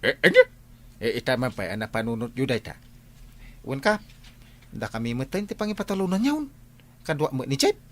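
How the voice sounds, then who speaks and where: A man playing an animated, exclaiming village character in an Ilocano comedy radio drama, with an Ilocano accent. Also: mid-range voice, normal speed, pauses often. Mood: excitable.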